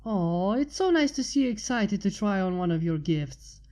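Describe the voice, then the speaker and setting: A woman voicing a kindly middle-aged female pony baker in a storybook, fond and gently instructing. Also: medium pitch, expressive, moderate pace, lightly acted. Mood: fond, motherly.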